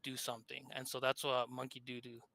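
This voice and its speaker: A man's voice: medium pitch, monotone, a little fast, vocal fry, bright, forceful tone, dry recording. A man from Argentina with a thick Argentine Spanish accent, a game developer talking casually with hesitant fillers. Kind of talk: casual interview chat.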